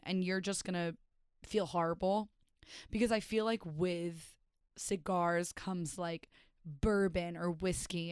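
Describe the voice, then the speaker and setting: Rich: A young woman with a lowish, hoarse, raspy voice rambles through a casual solo podcast, self-mocking and full of filler words. The sound is close and dry, with no room around it. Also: dry recording. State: hoarse, raspy voice after days of partying. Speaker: podcast host and stand-up comedian.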